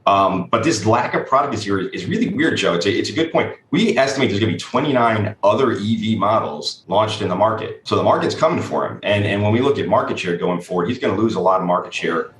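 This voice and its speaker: Male finance commentator, very low pitch, brisk conversational delivery, roomy echoing sound. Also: expressive, a bit bright. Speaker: financial analyst interviewee. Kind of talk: conversational TV analysis.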